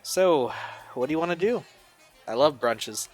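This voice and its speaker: A man's voice: on the high side, lively intonation, measured pace, faint music behind, rough recording. A man playing an easygoing, supportive young friend in an audio drama, speaking casually. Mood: friendly, casual. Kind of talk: audio drama dialogue, naturalistic amateur acting.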